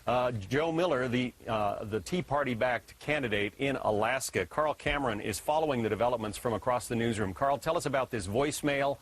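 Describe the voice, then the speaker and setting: A man's voice: medium pitch, a little fast, few pauses. Male American anchor, middle register, handing over and questioning on live news.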